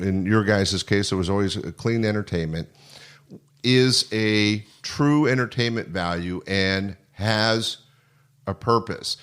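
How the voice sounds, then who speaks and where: An older man with a low-pitched voice hosts a conversational podcast interview. He talks earnestly and tends to ramble as he works his way toward a question. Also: a bit bright. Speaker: podcast host.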